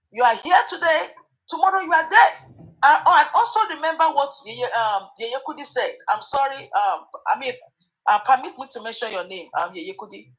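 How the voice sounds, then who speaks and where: A woman's voice: high-pitched, fairly bright tone, low-quality recording. A woman hosting a commentary chat, hesitant with fillers at times, then pointed and emphatic. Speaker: host.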